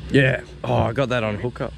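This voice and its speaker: A man on a fishing boat, calling out loudly and excitedly from across the boat. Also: mid-range voice, fairly quick, gravelly. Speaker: fishing mate. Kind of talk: casual chat. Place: small boat on a river outdoors. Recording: outdoor noise, voice from a distance.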